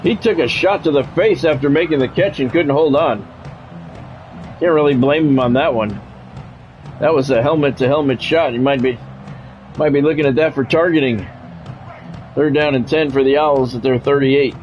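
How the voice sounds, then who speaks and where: A man's voice: mid-range voice, moderate pace, pauses often, a little mellow, muffled recording, soft background music. A man streaming a football video game, mixing sports play-by-play with casual, salty chat to viewers and swearing freely.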